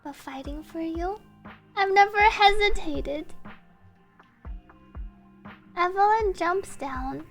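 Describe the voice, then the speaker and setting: A woman's voice: very high voice, takes it slowly, long pauses. A woman streamer reading translated game dialogue aloud in an emotive, dramatic manner. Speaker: streamer reacting to game cutscenes. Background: game music.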